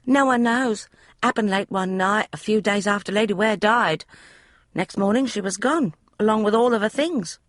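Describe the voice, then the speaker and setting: A woman's voice: medium pitch, normal speed, dry recording, clean studio sound, voice pushed up, close to an everyday voice. A woman voicing a young, flustered housemaid who gossips in broad, common speech. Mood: gossipy.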